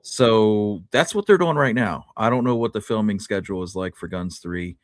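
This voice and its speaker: A man's voice: on the low side, moderate pace, no echo. A man speaking casually as a podcast co-host, with an easygoing manner.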